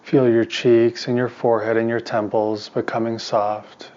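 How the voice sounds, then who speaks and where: A man teaching meditation in a soft voice, giving calm, soothing instructions in short, spaced phrases. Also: mid-range voice, measured pace, muffled recording. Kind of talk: guided meditation.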